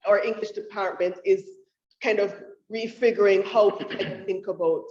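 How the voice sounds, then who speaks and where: A woman giving an academic talk about her research at a book event, speaking in a thoughtful, halting way with frequent restarts. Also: mid-range voice, steady tone, darkish tone. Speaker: featured author at a book talk. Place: university lecture room. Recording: roomy, dull room audio.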